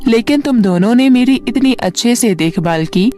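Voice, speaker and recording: A woman voicing a grateful, generous fairy with a gentle, gracious manner in a storybook tale. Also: on the high side, animated, normal speed, pitched above the performer's own voice, natural voice. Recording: music bed under the voice.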